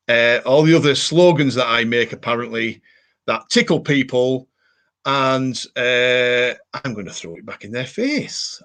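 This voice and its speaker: Cumbrian male host, casual rambling live chat with viewers, average pitch. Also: a bit bright. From Cumbria, England. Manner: rambling, chatty, repeats catchphrases. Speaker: livestream host.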